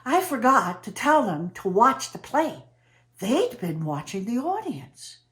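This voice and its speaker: A woman's voice: a little high, very animated, fairly bright tone. Woman acting eccentric, swooping, awestruck storyteller. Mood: confiding, amazed. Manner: dramatic storytelling with emphatic swoops and pauses. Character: eccentric, awestruck woman named Trudy who chums with space aliens. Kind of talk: theatrical comic monologue.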